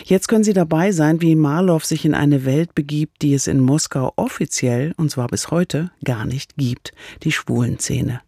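A man's voice: on the high side, normal speed, few pauses, warm tone, no echo. A man presenting on radio in a scripted introduction, close on a studio microphone.

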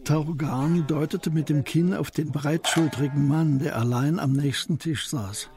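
A man narrating a historical crime radio play in a measured, literary style, as a sober storyteller. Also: on the high side, fairly even intonation, music in the background. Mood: sober, suspenseful.

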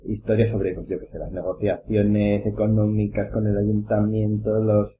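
A man with a fairly low voice and an accent from Spain. He chats casually and explains things, pausing to find his words. The sound is narrow and phone-like. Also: monotone. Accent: Spanish from Spain. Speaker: podcast panelist. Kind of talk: casual chat among friends.

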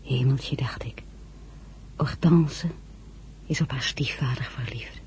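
An actress playing an observant young woman narrating her own memories in a Dutch-language radio drama, with a soft voice. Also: very deep voice, takes it slowly, whispered. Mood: wistful, hushed. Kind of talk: scripted first-person narration in radio drama.

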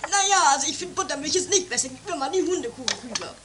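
A woman's voice: high voice, expressive, rapid-fire, very noisy. A woman playing a smug, whiny, easily disgusted companion in an amateur comedy radio play. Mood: casual.

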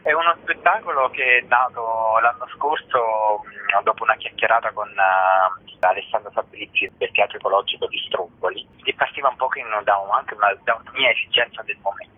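A man's voice: fairly low pitch, brisk, breathy edge, phone-quality sound. A man talking as an interview guest in a reflective, personal way, hesitantly searching for his words. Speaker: theatre actor and author, interview guest.

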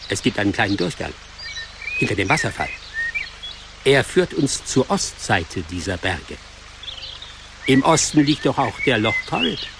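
A man's voice: on the low side, very animated, a little slow, creaky, long pauses, noisy background, full character voice. A man playing a brave, protective animal guide in a radio play, with an urgent manner. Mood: explaining, conspiratorial.

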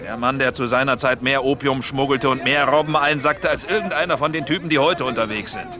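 An actor playing a brash, scheming sea trader in a radio drama, boastful, coaxing and coarse. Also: male voice, mid-range voice, brisk, lightly acted. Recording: busy outdoor crowd behind. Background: outdoor crowd bustle.

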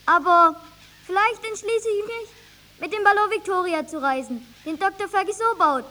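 Very high-voiced dreamy runaway boy. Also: moderate pace, sharp tone. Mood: dreamy, stubborn. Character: dreamy boy runaway, eager for sea adventure. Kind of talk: German radio drama acting.

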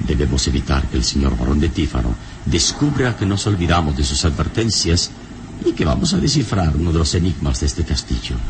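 An actor playing a calm, commanding adult hero in a radio serial, speaking in a solemn, deliberate, formal manner. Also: male voice, exaggerated voice. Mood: calm, resolute. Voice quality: very deep, measured voice. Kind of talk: Latin American radio serial acting. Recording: old radio serial with background noise.

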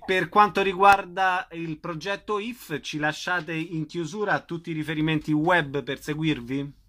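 Radio host, man, higher voice, bright edge, brisk and polite. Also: fairly even intonation. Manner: brisk, courteous, wraps up segments. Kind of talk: radio interview.

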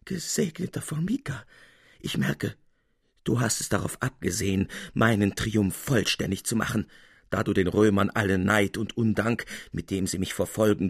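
A man's voice: lowish voice, normal speed, gravelly, breathy edge, no echo, studio quality, pitched below the performer's own voice. A man voicing a vain, easily enraged old miser in a radio storybook reading, pompous, exclamatory and theatrical. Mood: self-satisfied.

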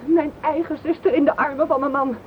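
An actress playing a furious, jealous wife in a radio drama, scathing and sarcastic, with a Netherlands Dutch accent. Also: female voice, sits high, fairly even intonation, a little fast, close to an everyday voice. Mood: furious, scornful. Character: furious, bitter, jealous wife betrayed by her sister. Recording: tinny, noisy old radio recording.

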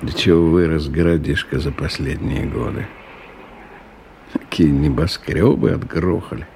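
An actor playing a middle-aged businessman in a radio drama, ironic and musing, in an unhurried way. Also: extremely low pitch, fairly even intonation, gravelly, darkish tone, a little hiss or hum, full character voice. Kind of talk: radio drama acting, interior monologue.

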